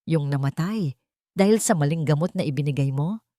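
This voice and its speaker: Audio-drama villainess: cold, calculating young woman, read by a female storyteller, lowish voice, dry close sound. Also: lively intonation, a little fast, mellow, no echo. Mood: icy, threatening. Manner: icy, calculating, menacing. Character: cold, scheming young woman blackmailing her former lover.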